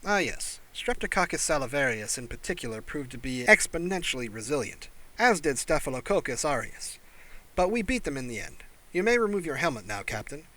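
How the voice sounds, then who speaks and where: A man's voice: medium pitch, very animated, a little fast, pushed and bright, rough recording. A man voicing a small male alien doctor in an audiobook, a pleasant tenor who is formal, terse and faintly condescending. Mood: clinical, condescending. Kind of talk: audiobook character voice.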